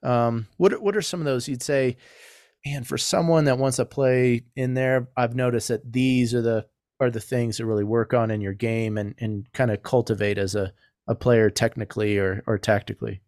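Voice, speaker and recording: A man's voice: medium pitch, fairly even intonation, moderate pace, a little mellow, no room sound, studio quality. A man, a team chaplain, interviewing a player with long, rambling questions. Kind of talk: casual interview.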